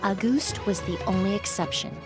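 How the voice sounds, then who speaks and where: A woman voicing a composed young perfumer in a video game, speaking in a measured, explanatory way. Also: medium pitch, lightly acted. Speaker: perfumer with medical knowledge. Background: faint game music.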